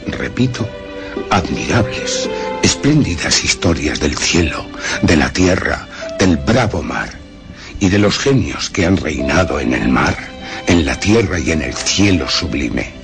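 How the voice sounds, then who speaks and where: Very deep, solemn Castilian male storyteller. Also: heavily acted. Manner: grave, theatrical, emphatic phrasing with dramatic pauses. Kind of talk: dramatic radio drama narration of a horror tale. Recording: music under the voice.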